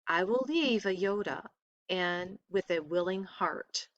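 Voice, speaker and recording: A woman's voice: fairly low pitch, expressive, slow, no echo, dull audio. A woman voicing a noble, dutiful young prince in a storybook, firm and earnest. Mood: resolute.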